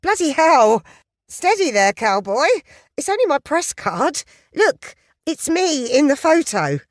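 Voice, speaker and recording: A woman voicing a plucky female journalist, talking in a bright, breezy, overly friendly way. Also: sits high, big pitch swings, unhurried, dry recording, clean studio sound, voice pushed up. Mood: alarmed. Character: plucky British woman journalist, bold and chatty.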